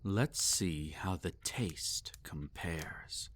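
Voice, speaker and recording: Man playing a slow, possessive, sadistic male vampire. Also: deep voice, a bit bright, a little hiss or hum, exaggerated voice. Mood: savoring, coaxing. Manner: theatrical, savoring, teasing and condescending. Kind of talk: intimate roleplay audio acting.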